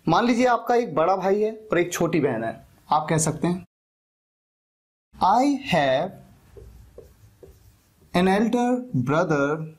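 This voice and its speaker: An Indian man, a native Hindi speaker, teaching spoken English by explaining and repeating example sentences in a deliberate, emphatic way. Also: sits high, lively intonation.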